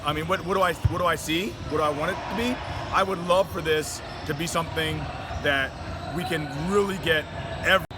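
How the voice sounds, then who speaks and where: A man's voice: a little high, brisk. An American man in middle age speaking as a tournament director in a casual interview, with informal, chatty phrasing. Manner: informal, peppers talk with "hey" and "you know". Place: at a basketball tournament venue. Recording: noisy gym, bouncing balls.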